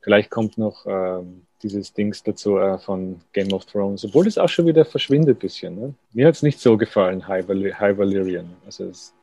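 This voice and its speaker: A man speaks as a writer being interviewed, slow to settle on his words and quick to make light of himself. His voice sits low, with a gravelly fry and a dark, mellow tone. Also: expressive, no echo, low-quality recording. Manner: musing, thoughtful, self-deprecating. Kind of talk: radio interview.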